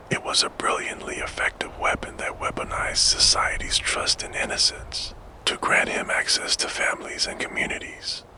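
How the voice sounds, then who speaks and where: A man narrating a true-crime story for sleep entirely in a soft, solemn whisper, with measured pacing. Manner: fully whispered, soft and hushed.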